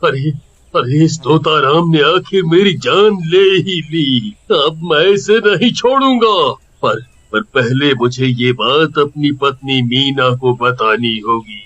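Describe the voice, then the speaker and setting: A man voicing the vengeful ghost of a murdered village husband in a cartoon, emphatic and deliberate. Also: fairly high pitch, animated, fairly bright tone, muffled recording, exaggerated voice. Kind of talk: Hindi cartoon voice acting.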